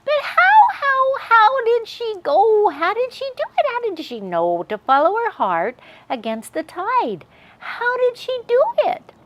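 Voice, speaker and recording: A little half-chick, half-pig boy from a storybook, voiced by a woman. The voice leaps very high and swings widely. He sounds earnest and plaintive, insistent in his wonder. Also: huge pitch swings, unhurried, fairly bright tone, dry recording, full character voice. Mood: urgent wonder.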